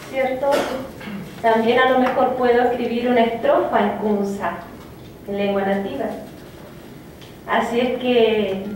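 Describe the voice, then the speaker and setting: A woman poet giving a reflective, halting personal talk, with many fillers and restarts. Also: on the high side, a little mellow. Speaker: poet speaking of her native language. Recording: echoey room, from a distance, faint noise.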